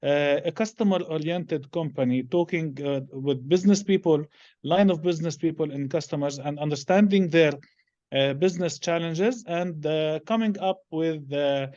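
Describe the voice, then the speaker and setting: A middle-aged man with a Lebanese accent answering interview questions in halting, hesitant phrases. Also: a little high, darkish tone, dull audio. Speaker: interview guest, business executive.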